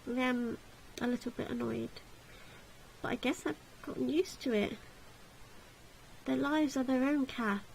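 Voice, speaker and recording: A woman voicing a gentle, patient young maid in a visual novel, speaking in a mild, conciliatory way. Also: high voice, steady tone, unhurried, vocal fry, pauses often, a little hiss or hum, rough recording. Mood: resigned.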